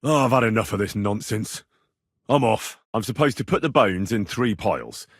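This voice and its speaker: A man's voice: lowish voice, animated, moderate pace, husky, dry recording, studio quality. A man voicing a stubborn, cheeky young medieval man in a video game, with blunt, earthy, conversational delivery. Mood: matter-of-fact. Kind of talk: video game character dialogue.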